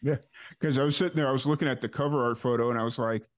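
A man hosts a podcast in a relaxed, casual way. His questions ramble and restart, with plenty of uhs between them. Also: medium pitch, rapid-fire. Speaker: podcast host. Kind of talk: casual interview chat.

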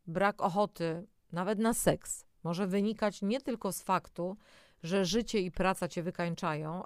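A woman with a clear, fairly low voice presents in a conversational but scripted style. She talks directly to the listener and explains as she goes. The sound is close and dry, free of room echo. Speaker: presenter of an audio health programme.

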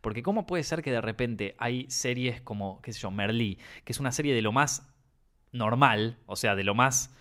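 Argentine guy rambling about movies, lots of eh and restarts, mid-pitched voice. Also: normal speed, a bit bright. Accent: Argentine Spanish. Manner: rambling, many fillers and restarts. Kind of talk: casual chat about films.

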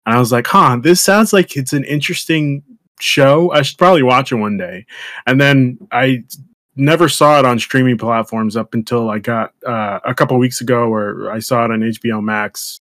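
A man's voice: mid-range voice, moderate pace, fairly bright tone, no room sound. Rambling casual male podcast co-host. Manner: rambling, many restarts and fillers. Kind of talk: casual chat.

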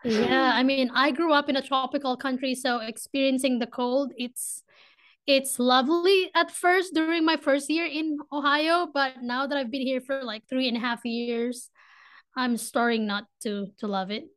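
A young woman from the Philippines with a Filipino accent, a podcast guest talking casually and eagerly, speeding up when she gets excited. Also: high-pitched, moderate pace. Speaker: podcast guest, business founder. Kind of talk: casual interview talk.

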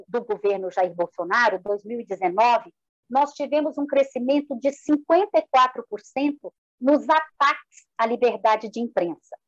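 A woman with a Brazilian accent giving formal, measured political analysis as a panelist, articulate and orderly. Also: sits high, no echo, dull audio. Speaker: panelist in an online debate. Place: indoor room, live online debate.

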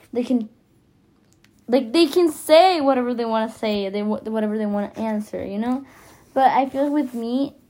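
A woman's voice: a little high, warm tone. A teenage girl, a native Spanish speaker with a Spanish accent, talking casually and hesitantly in a self-conscious, rambling podcast monologue. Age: teenager, high school freshman. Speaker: podcast host.

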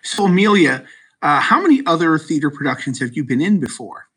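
A man hosting an interview podcast, speaking in a measured, encouraging way to his guests. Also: a little high, lively intonation, a bit bright, breathy edge. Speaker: podcast host. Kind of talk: podcast interview with school play actors.

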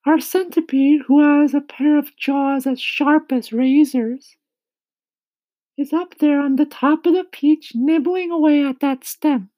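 Kind lady ladybug for a storybook: very high, slow, emphatic, bossy explainer. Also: fairly even intonation, warm tone, no echo, rough recording. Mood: excited, explaining. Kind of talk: children's storybook reading.

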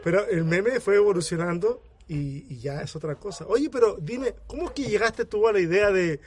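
Chatty high-voiced man in his forties. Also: expressive, moderate pace, a little mellow, slight background noise. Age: 40s. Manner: chatty, laughs often, addresses guest by name. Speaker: podcast host. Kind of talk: casual interview.

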